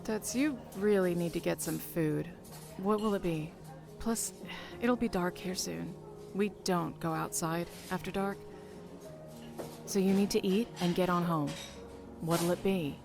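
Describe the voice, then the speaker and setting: A woman's voice: fairly low pitch, measured pace, gravelly, music in the background. A woman playing a brash, slangy, impatient diner waitress in an audio horror drama. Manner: sassy, slangy, clipped.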